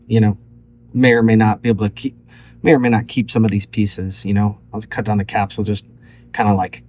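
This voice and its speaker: A man's voice: fairly low pitch, darkish tone. A man casually walking through a DIY electronics project, thinking aloud in an offhand, loosely phrased way. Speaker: electronics hobbyist host.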